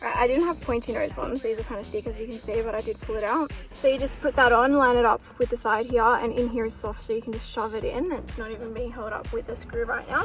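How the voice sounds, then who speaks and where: Lady with a fairly high voice talking thru a diy job, super casual n slangy, music clearly behind her. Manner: informal, learning as she goes, slangy. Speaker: vlogger showing a DIY car install. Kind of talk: casual how-to narration.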